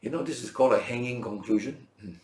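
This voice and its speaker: A man preaching a Bible lesson as a teacher, in emphatic short phrases with rhetorical questions and a gravelly voice. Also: medium pitch, quick pace. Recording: roomy, echoing sound.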